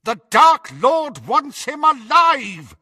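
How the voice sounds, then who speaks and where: A man's voice: mid-range voice, very animated, a little slow, bright, forceful tone, breathy edge, no echo, studio quality, full character voice. A man voicing a haughty, impatient teenage schoolboy with a brittle, tense manner in an audiobook. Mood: alarmed shouting.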